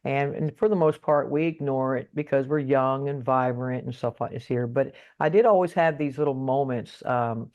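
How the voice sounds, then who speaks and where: A woman talks slowly through her story in a casual interview, often stalling and repeating herself. Her voice comes over a video call with dull, muffled, lo-fi sound. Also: rumbling low, darkish tone. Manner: halting, repeats words, many fillers. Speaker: spiritual guest.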